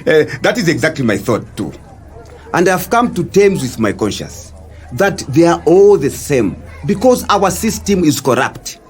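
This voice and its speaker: A Zambian man in an audio drama, easygoing but cynical about those in power. His fairly high voice moves up and down dramatically as he chats and questions, with faint music underneath. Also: very animated, normal speed. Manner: conversational, questioning. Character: laid-back, curious Zambian man, cynical about corrupt leaders. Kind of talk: scripted educational audio drama.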